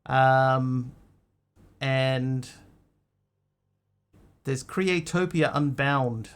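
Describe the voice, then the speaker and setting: A man's voice: mid-range voice, very slow, clear, resonant tone. A man who grew up in Australia, chatting casually to his stream audience in a rambling, hesitant way with lots of ums and restarts. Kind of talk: casual live-stream chat.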